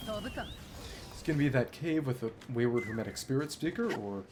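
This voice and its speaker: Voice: mid-range voice, big pitch swings, moderate pace, noisy background. A video game streamer giving casual live commentary, thinking aloud and trailing off mid-thought.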